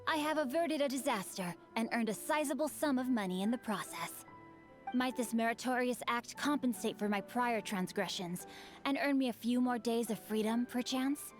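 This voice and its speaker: An actor playing a witty, refined young nobleman swordsman in a game, speaking in florid, formal, playful phrases. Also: very high-pitched.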